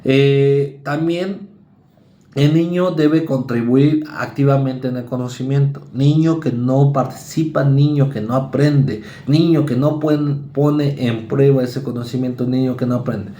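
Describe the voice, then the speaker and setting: A male university lecturer with a Mexican accent, giving a conversational psychology class and acting out students and parents in quick asides. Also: medium pitch, fairly even intonation, mellow, reverberant. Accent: Mexican Spanish.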